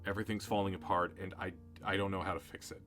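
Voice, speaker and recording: A man voicing a dejected young male camp owner who mumbles low and defeated. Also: flat delivery, fast, a bit bright, faint music behind. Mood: hopeless.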